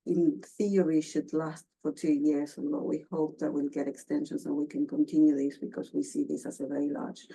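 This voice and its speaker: Argentine lady giving a research talk, low mellow voice, lots of ums and restarts. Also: flat delivery, gravelly, husky, low-quality recording. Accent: Argentine Spanish. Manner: halting, many fillers and restarts. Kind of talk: academic presentation.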